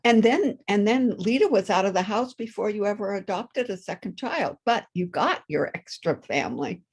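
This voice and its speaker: A woman's voice: mid-range voice, lively intonation, normal speed, slightly rough. A woman giving feedback on a story over a video call, thoughtful and often breaking off and restarting. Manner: thoughtful, self-interrupting. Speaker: workshop participant.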